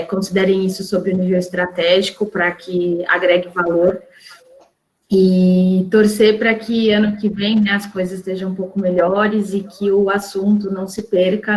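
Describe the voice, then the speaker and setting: Female panelist, monotone delivery, earnest. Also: mid-range voice, poor audio. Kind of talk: closing remarks on a webinar panel.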